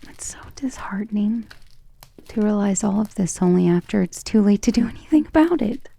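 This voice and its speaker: A woman voicing an ancient, tender and protective vampire lover in an intimate, breathy romantic ASMR roleplay, with genteel wording and a vulnerable air. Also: mid-range voice, lively intonation, moderate pace, warm tone. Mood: worried, regretful. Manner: intimate, breathy half-whispers with sighs.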